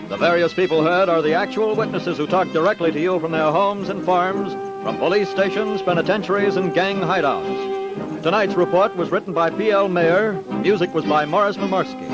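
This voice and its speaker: Old time radio announcer guy, american, higher voice, formal, muffled with loud music behind.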